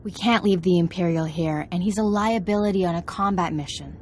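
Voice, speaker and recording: An actress playing a composed, reassuring Jedi tactician in a video game, speaking in a measured, polite and instructive way. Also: female voice, fairly low pitch, slight background noise, lightly acted. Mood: calm, planning. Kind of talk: dramatic video game acting.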